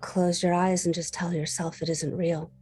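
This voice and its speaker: An unhinged young woman, played by a woman in a horror game. Her low voice is deliberate and insistent, repeating itself over faint music. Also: flat delivery, warm tone, close to an everyday voice. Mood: insistent, soothing.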